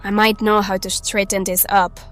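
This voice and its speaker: A woman's voice: mid-range voice, little pitch movement, normal speed, fairly bright tone, soft background music, lightly acted. A woman acting in an audio drama as a mysterious, earnest maiden and fearless ally with cryptic, formal phrasing. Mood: assured, solemn.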